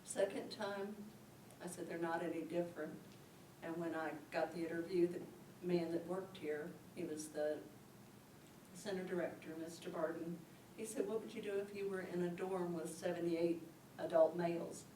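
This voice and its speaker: A woman's voice: lowish voice, fairly even intonation, normal speed, warm tone, a little hiss or hum. A woman from Indiana, a staff member, telling a story in an interview in a plainspoken, humorous way. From Indiana, USA. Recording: roomy, mic at a distance.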